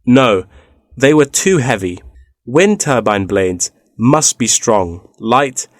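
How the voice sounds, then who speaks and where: A man playing a knowledgeable explainer in a scripted listening-test dialogue, measured and informative. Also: medium pitch, dry recording, clean studio sound, lightly acted. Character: knowledgeable man explaining wind farm technology.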